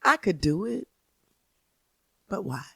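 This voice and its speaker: This is a woman with a bright, punchy voice at an average pitch. She chats casually as a comedian guest on a podcast, playful and emphatic, often repeating a phrase. The recording is close and dry. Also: sing-song, slow, creaky, slightly rough, no room sound. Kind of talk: casual chat.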